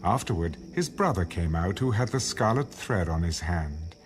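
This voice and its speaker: A man's voice: deep voice, animated, normal speed, background noise. A man narrating a dramatized audio Bible in a storytelling style, with music underneath.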